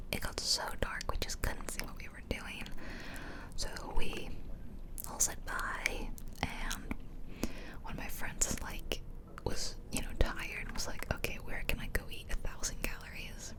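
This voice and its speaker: A woman whispering gently in a casual, rambling ASMR style, close to the microphone from ear to ear. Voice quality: soft unvoiced whisper. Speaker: ASMR video creator and gamer. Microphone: close stereo ear-to-ear microphone.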